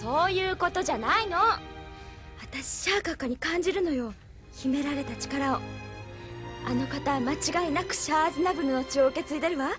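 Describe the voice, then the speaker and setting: Young female crew member, played by an actress: idealistic, earnest, courteous, extremely high register, audio drama. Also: fairly quick, lightly acted. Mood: fervent. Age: young adult. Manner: polite, earnest, fervent. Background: faint music.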